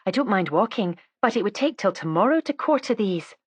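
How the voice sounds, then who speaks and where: A woman voicing a good-humoured, wry lady companion in an audiobook, conversational and lively. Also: mid-range voice, fairly quick, husky, no echo.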